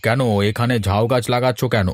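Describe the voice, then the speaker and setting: Man as a quick, questioning cartoon village uncle. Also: mid-range voice, fairly even intonation, no room sound, natural voice. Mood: inquisitive. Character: inquisitive older village uncle. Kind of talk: Bengali moral-story cartoon dialogue.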